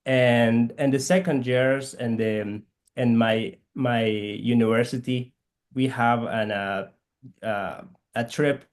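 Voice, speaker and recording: A man from Guatemala with a Guatemalan Spanish accent, whose first language is K'iche', speaking haltingly as an interview guest and searching for words. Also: medium pitch, fairly even intonation. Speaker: guest, physical therapist missionary. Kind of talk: conversational interview.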